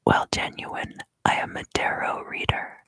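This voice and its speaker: A woman giving an ASMR tarot reading in a soft, unhurried whisper. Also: dry recording, clean studio sound. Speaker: tarot reader and ASMR host.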